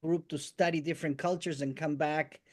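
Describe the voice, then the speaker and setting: Halting Argentine-born man on a call. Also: on the high side, fairly even intonation. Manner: halting, many restarts and "eh" fillers. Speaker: guest musician and storyteller. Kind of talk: casual conversation, life story.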